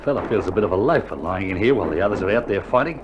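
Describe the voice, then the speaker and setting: An actor playing an eager, loyal wounded Australian soldier in a radio drama, talking in an earnest, colloquial way. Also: male voice, sits low, expressive, rapid-fire. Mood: earnest, admiring. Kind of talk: recorded radio drama acting. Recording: vintage vinyl recording, faint noise.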